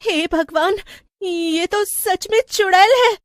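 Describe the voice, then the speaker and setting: Super high young village wife, woman acting it, cartoon story, earnest and plaintive, dry sound. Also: takes it slowly, dry recording. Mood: distressed, determined. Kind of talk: animated Hindi story acting.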